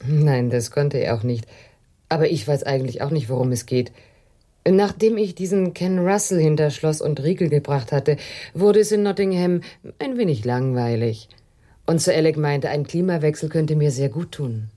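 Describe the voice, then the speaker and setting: A composed policewoman of rank, with a lowish, husky voice. She sounds self-confident and understated, in the manner of a radio crime play. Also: normal speed, dark tone. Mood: cool, amused. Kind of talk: German radio crime drama acting.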